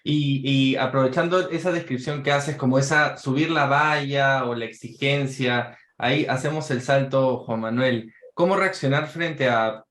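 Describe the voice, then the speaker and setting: A man of middle pitch moderates a panel discussion, putting long, rambling questions with small restarts to the guests. His voice carries a noticeable room echo. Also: a little slow, hardly any pauses, fairly bright tone. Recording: echoey room.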